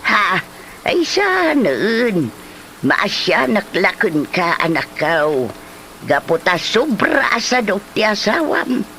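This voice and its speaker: An actress as an older Filipino mother, fretting and sympathetic. She speaks slowly in a sighing, motherly manner, in a comedy drama with faint noise behind her. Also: medium pitch, big pitch swings, fairly bright tone, full character voice. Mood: concerned, pitying.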